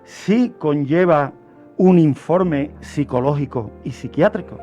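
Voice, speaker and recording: A man's voice: fairly high pitch, lively intonation, slow, warm tone, highs cut off, faint music behind. A man giving didactic, emphatic explanations in a radio discussion. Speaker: criminology commentator on a radio show.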